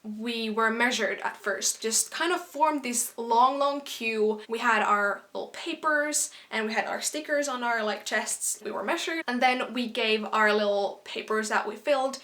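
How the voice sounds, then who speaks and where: A young woman from Finland, a native Finnish speaker, chatting casually to camera as a vlogger in an animated storytime style. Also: fairly high pitch. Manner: chatty and animated, quick asides and quoted exclamations.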